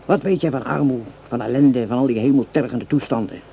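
An actor playing a cynical, hot-tempered French common soldier in a Dutch radio play, coarse and sarcastic in manner. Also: male voice, mid-range voice, fairly quick, gravelly, natural voice. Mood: bitter, heated. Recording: dull, noisy old radio play recording.

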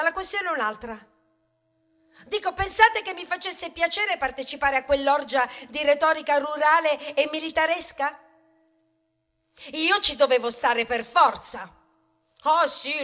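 A woman's voice: high-pitched, bright, forceful tone. An actress playing a proud, aggrieved male grain of wheat in a satirical stage monologue, theatrical and plaintive, swelling into declamation and confiding in asides. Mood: sheepish, defensive. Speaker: stage actor performing a monologue. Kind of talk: theatrical satirical monologue, rhetorical, with bursts of song.

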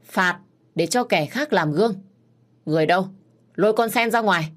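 A woman's voice: on the low side, lively intonation, moderate pace. A woman voicing the stern young master of a wealthy house, giving harsh, commanding orders.

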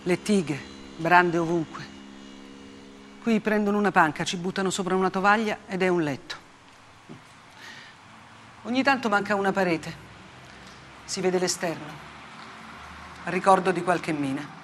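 An actress playing a grave, unflinching woman war reporter in a theatrical monologue, speaking in short, deliberate phrases with dramatic pauses. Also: on the low side, a little hiss or hum, lightly acted. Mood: somber, stark. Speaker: stage actress. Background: plucked guitar-like music.

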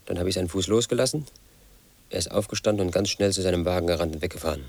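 An actor playing a brooding, paranoid man in his thirties, telling his own story as a flat inner monologue in a radio play. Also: low voice, racing, slight background noise. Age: 30s. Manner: flat, brooding, quietly obsessive.

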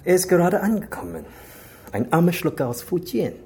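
Suave, menacing crime boss, swooping pitch. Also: male voice, very animated, a little fast, darkish tone. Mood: smug, celebratory. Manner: genial but insinuating and commanding. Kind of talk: German radio crime drama acting.

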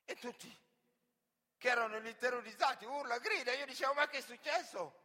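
An actor playing an earnest, wounded migrant worker in an emotive theatrical monologue. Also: male voice, very high-pitched, a little fast, bright, forceful tone, exaggerated voice. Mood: anguished, frantic. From Senegal. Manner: emotive, storytelling delivery with repeated phrases. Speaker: stage actor and storyteller. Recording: reverberant hall.

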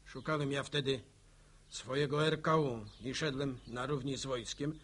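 A man's voice: medium pitch, moderate pace, slightly rough. An actor playing a seasoned, plain-spoken middle-aged village man in a radio drama, telling stories colloquially. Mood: reflective recounting. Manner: colloquial, animated storytelling.

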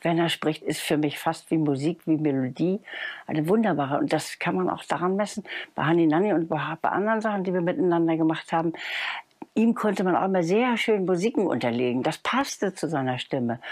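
Low-voiced older woman reminiscing. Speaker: audio-play producer. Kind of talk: reminiscing interview talk.

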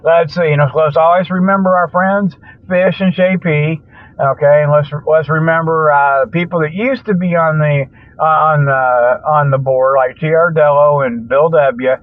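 A man's voice: fairly high pitch, moderate pace, no room sound. A man hosting a comedic radio show, rambling along in a folksy, colloquial, joking monologue. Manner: folksy, colloquial, jokey babbling with frequent chuckles. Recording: thin phone-like radio sound.

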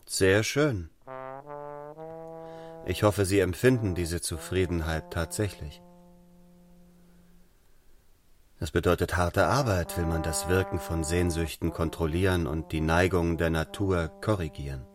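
An actor playing an austere, fervent young clergyman in a radio drama, speaking in a formal, deliberate, controlled manner. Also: sits low, music in the background, lightly acted. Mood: stern, probing.

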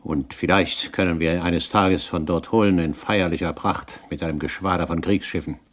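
An actor playing a troubled older pirate captain in a German radio play, speaking gravely and formally. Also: male voice, deep voice, steady tone, normal speed, fairly bright tone. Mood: solemn.

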